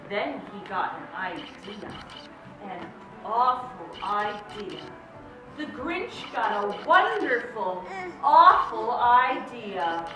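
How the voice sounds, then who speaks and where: A woman's voice: medium pitch, very animated. A woman reading a storybook aloud to children in a dramatic, emphatic way, drawing out key words. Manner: theatrical, emphatic repetition and drawn-out words. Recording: echoey room, distant mic, music behind.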